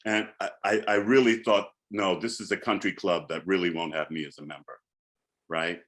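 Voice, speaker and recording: Older man, very low bass-baritone, reflective panel talk, casual. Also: normal speed. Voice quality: deep bass-baritone speaking voice. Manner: reflective, turns animated in storytelling. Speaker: alumni panelist. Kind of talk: panel discussion.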